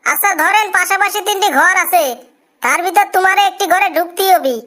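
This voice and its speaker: Cartoon interviewer: extremely high voice, Bangladeshi accent, tired, grumbling, rustic, easily impressed. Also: female voice, brisk, sharp tone. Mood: weary, quizzing. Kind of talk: comic cartoon dialogue.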